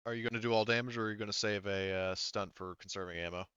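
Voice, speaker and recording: Male game master, smooth low voice, casual and halting, slightly dull close recording. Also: steady tone, fairly bright tone, no echo. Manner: halting, thinks aloud while checking rules. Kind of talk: running a tabletop roleplaying game, casual.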